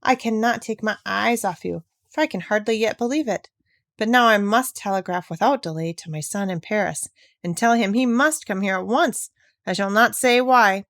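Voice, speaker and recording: A woman's voice: mid-range voice, normal speed, fairly bright tone, dry recording, studio quality, close to an everyday voice. A woman voicing an affectionate, well-bred elderly grandmother in a storybook, speaking in emphatic exclamations. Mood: eager.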